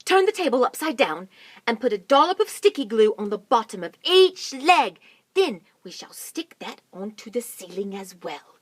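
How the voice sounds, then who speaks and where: A woman voicing an excitable, bossy male monkey father who shouts urgent orders in a shrill voice. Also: slow pace, dry recording, heavily acted.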